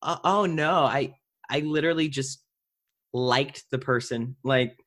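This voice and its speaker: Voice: mid-range voice, unhurried, fairly bright tone. A podcast host and actor of unclear gender talking casually and conversationally while interviewing a friend.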